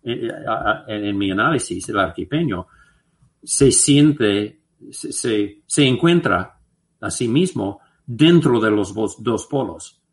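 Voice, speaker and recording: Slow halting man. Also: fairly low pitch, animated. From United States. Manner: slow and halting, many restarts and filler sounds. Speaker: guest researcher. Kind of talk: interview answers, anecdotal.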